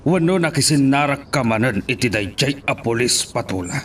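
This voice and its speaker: A man's voice: medium pitch, animated, normal speed, fairly bright tone, slight background noise, natural voice. A man playing a stern, commanding middle-aged mayor and father in an audio drama. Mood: stern, displeased.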